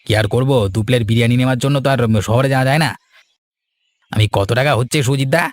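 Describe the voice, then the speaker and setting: A man's voice: mid-range voice, steady tone, rapid-fire, no room sound, natural voice. A man voicing an indignant village customer in a cartoon, argumentative and aggrieved. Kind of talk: Bengali moral-story cartoon dubbing.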